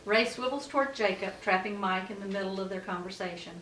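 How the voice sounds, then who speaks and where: A woman's voice: mid-range voice, brisk, fairly bright tone. Echoey woman narrating a script. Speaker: narrator of stage directions. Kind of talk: scripted narration at a table read. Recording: echoey room, distant mic, faint noise.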